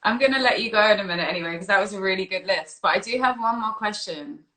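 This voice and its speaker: A woman's voice: medium pitch, fast, very noisy. A woman hosting a casual live-stream interview, chatting in an easygoing, giggly way. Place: remote social media live video stream.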